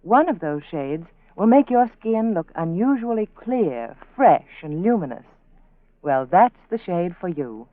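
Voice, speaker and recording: A woman's voice: fairly low pitch, very animated, a little slow, no echo. A woman reading a scripted 1940s radio advertisement as a spokeswoman. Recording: dry, muffled narrow-band vintage radio.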